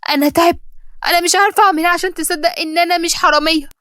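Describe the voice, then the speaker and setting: A woman's voice: extremely high pitch, fairly even intonation, racing, sharp tone, dry recording, clean studio sound, voice pushed up. A woman voicing a wronged young woman, emotional and pleading, defiant through her hurt, in a drama. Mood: desperate.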